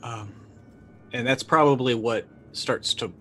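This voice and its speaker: A middle-aged man playing a tabletop roleplaying game, narrating casually and haltingly as he thinks aloud. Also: mid-range voice, pushed and bright, soft background music. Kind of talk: improvised in-character narration, casual. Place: indoor room, video call.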